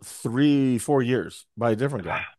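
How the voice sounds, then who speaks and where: A man co-hosting a podcast in casual chat, his voice hoarse and scratchy, talking in a wry, grumbling way. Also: medium pitch, expressive, moderate pace, a little mellow, no echo. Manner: wry, grumbling, mimics others in quotes. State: hoarse, recovering from lost voice. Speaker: podcast co-host.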